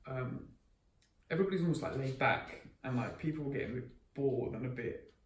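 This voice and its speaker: A man's voice: medium pitch, expressive, fairly quick, slight background noise. A man of twenty, co-hosting a podcast in casual chat, rambling with many restarts and fillers. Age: 20s. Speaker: podcast co-host. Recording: echoey room, mic at a distance, faint ambience.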